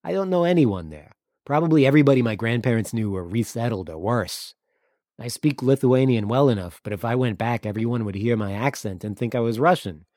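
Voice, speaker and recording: A man's voice: mid-range voice, fairly quick, darkish tone, dry recording, clean studio sound, close to an everyday voice. A man voicing a cynical, guarded Crimean local in an audiobook, speaking bluntly and emphatically. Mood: weary frank. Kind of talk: audiobook character dialogue.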